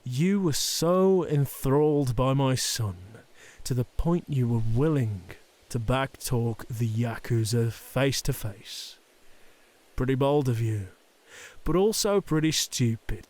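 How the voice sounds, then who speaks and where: A man's voice: medium pitch, lively intonation, darkish tone. A man playing a cruel, domineering middle-aged Yakuza boss and father in an audio drama, taunting and threatening. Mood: cold taunting. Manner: taunting, threatening, slow deliberate delivery. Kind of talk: ASMR roleplay audio drama acting.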